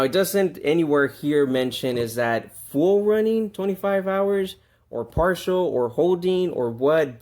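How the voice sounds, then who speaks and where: A man talking casually through an unscripted product demonstration, conversational, repeating himself and stressing key words. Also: medium pitch. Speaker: product reviewer.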